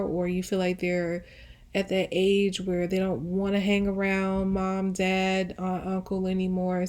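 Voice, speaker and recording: A woman's voice: mid-range voice, a little mellow. A woman with a casual, conversational delivery giving an intuitive pick-a-card reading, often circling back to rephrase her thoughts as she goes. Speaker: tarot reader, host.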